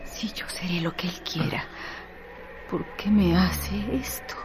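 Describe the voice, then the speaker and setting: An actress playing a devoted, anguished young woman in love in a radio drama, breathy and pleading. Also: on the low side. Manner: breathy whispering, slow and pleading. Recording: noisy radio drama track.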